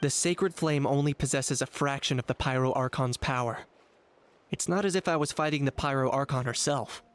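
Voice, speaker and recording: Calm, reserved young warrior played by a man. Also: medium pitch, normal speed, lightly acted. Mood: cool, dry. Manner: level-headed, matter-of-fact. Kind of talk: anime video game voice acting.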